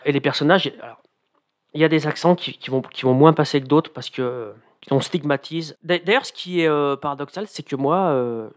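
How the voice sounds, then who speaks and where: A man's voice: a little high, moderate pace. A man who writes comedy columns for the radio, chatting in an interview with plenty of hesitations and fillers. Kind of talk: casual radio interview chat.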